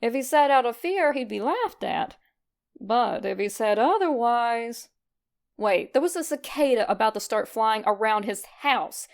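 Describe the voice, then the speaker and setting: A woman with a fairly high voice reads a fan fiction story aloud. She narrates from a script in an expressive storytelling style. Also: measured pace. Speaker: fan fiction podfic reader.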